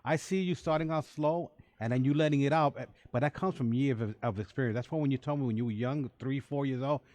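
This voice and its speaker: A man's voice: mid-range voice, fast, dry recording. A man hosting a radio interview, talking loosely and rambling, often repeating words. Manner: relaxed, rambling with many repeats. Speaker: radio show host. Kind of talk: casual interview chat. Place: radio studio.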